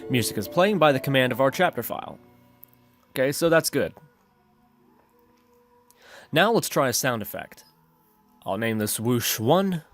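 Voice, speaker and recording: Male tutorial host, medium pitch, step-by-step screencast narration over a music bed. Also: lively intonation, measured pace, pauses often, a bit bright, no echo.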